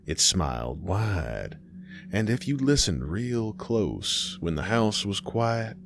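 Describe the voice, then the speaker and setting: A man telling a scripted horror tale as a folksy fireside storyteller and host, with dramatic pauses. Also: lowish voice, sing-song, gravelly, a little hiss or hum.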